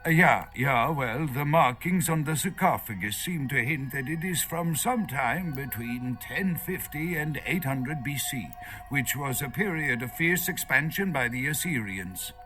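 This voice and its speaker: A man's voice: medium pitch, expressive, unhurried, few pauses, bright, forceful tone, soft background music. An actor playing a nervous, erudite archaeologist in a video game, lecturing hesitantly as he searches for words. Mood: earnest, lecturing. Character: nervous, erudite male foreign archaeologist held captive.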